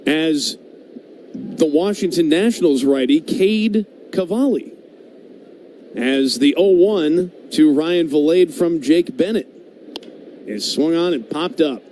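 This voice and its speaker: An American man calls baseball live for radio in a fairly high, mellow voice. He sounds conversational between plays, with faint background noise behind him in the booth. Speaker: baseball play-by-play announcer. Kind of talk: live radio sports commentary. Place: stadium broadcast booth.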